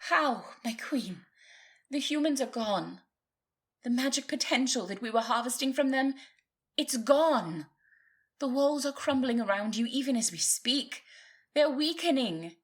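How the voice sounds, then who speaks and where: A woman playing an earnest, solemn griffin advisor in a fantasy audio roleplay, breathy, grave and formal. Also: high voice, expressive, measured pace, exaggerated voice. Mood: pleading.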